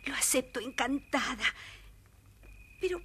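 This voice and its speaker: A woman playing a poor, kindly old beggar woman with a breathy, whispery voice in a children's story. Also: high-pitched, expressive, unhurried, a bit bright, slight background noise. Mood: grateful, frail.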